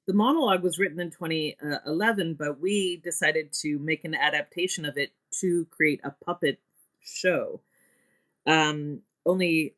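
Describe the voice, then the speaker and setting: A woman's voice: low voice, fairly bright tone. A woman speaking as an interview guest, explaining carefully and correcting her wording as she goes. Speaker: interview guest, theatre director.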